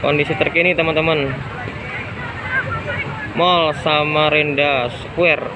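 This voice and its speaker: A man's voice: on the high side, fairly even intonation, slow pace, a crowd in the background. A man filming a night fire on his phone. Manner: urgent, repetitive, addresses viewers as friends. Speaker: citizen reporter vlogger. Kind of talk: on-the-spot phone video report. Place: outdoors at night by a mall fire, busy street. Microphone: phone camera held by the filmer. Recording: phone recording with loud street noise.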